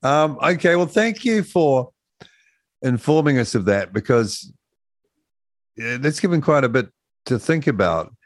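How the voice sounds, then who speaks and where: A man's voice: medium pitch, animated, measured pace, pauses often, no room sound. A man hosting a conversational radio interview.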